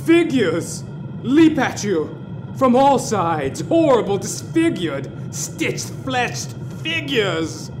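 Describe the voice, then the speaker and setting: Man, horror audio drama narration, very slow, theatrical, music behind. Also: very high-pitched, very animated. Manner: theatrical, drawn-out, swelling from hushed to screaming.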